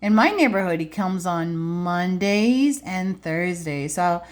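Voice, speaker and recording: A woman's voice: on the low side, expressive, takes it slowly. A woman preschool teacher reading aloud to young children, adding simple explanations and checking in with questions. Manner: simple child-directed phrasing.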